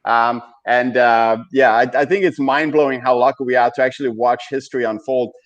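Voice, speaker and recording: A man hosting a casual panel over a video call, with a halting, hesitant delivery. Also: mid-range voice, steady tone, lo-fi sound. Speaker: host.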